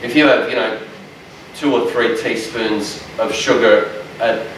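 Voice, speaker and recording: A man, a dietitian giving a lecture to health workers in a large room, with a loud, strong voice and a passionate, joking manner. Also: mid-range voice, steady tone, unhurried, a little hiss or hum. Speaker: dietitian presenter. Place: large echoey training room. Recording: echoey room, speaker far from mic.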